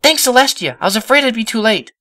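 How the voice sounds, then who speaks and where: A man voicing a hyper, emotional young female cartoon pony with dramatic, excitable outbursts in a storybook reading. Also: very high voice, fast, no room sound, studio quality, voice pushed up, full character voice. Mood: frantic, tearful.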